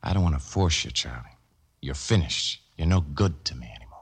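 An American actor playing a cold, domineering boxing promoter in a 1950s radio drama, blunt and hard-boiled, with a husky voice. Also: male voice, rumbling low, animated, a little fast, exaggerated voice. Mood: cold, businesslike. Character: cold, controlling crooked boxing promoter, a man. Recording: vintage 1950s radio recording.

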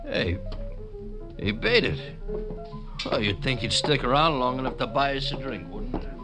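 An actor playing a gruff, mocking dockworker looking for trouble in a 1950s radio crime drama. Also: male voice, medium pitch, lively intonation, measured pace, close to an everyday voice. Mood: offhand, grumbling. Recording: vintage radio recording with music underneath.

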